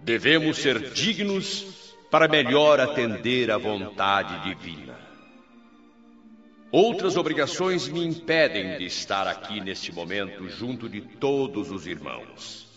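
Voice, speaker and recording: An actor playing a serene spiritual governor who addresses his people in grave, measured oratory, in a radio play. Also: on the low side, big pitch swings, a bit bright, faint music behind, exaggerated voice. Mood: solemn. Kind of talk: radio drama acting. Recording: dull lo-fi radio play audio.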